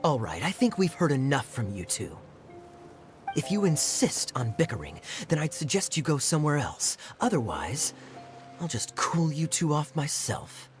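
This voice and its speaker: A man's voice: a little high, big pitch swings, normal speed. A man playing a cool, stern authority figure in a video game, composed and commanding. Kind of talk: video game cutscene acting. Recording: music under the voice.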